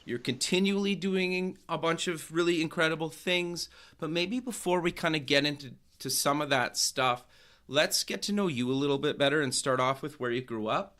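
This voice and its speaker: A man's voice: on the high side, moderate pace, fairly bright tone. A man hosting a radio and TV interview show, reading a scripted intro and then asking questions in an enthusiastic, hedging way. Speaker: host.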